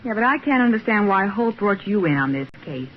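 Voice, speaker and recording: An actress playing a curious, eager detective's assistant in a 1940s radio drama, inquisitive and animated. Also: female voice, medium pitch, brisk, lightly acted. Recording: muffled, noisy old radio recording.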